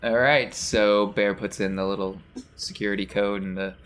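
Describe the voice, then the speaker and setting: A man's voice: on the low side, fairly even intonation, moderate pace, fairly bright tone. A man acting as game master, narrating an improvised tabletop game in a casual way.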